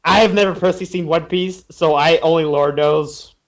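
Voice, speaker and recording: A man's voice: on the high side, steady tone, a little fast. A man chatting casually as a podcast panelist, stammering, repeating himself and trailing off. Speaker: podcast panelist reviewing fan fiction. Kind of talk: casual chat.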